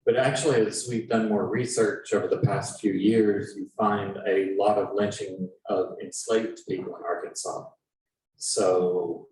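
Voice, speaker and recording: A man explains history to an audience as a panelist. He speaks in a halting, explanatory way, picked up from a distance in an echoey room with faint noise. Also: sits low, darkish tone. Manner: explanatory, halting with many pauses. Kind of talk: informal history lecture to an audience.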